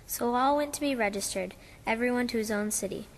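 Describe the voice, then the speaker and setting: A woman's voice: on the high side, fairly quick, long reverb tail, a little hiss or hum, lightly acted. A woman playing a child narrator who solemnly recites nativity scripture in a pageant. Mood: solemn reciting.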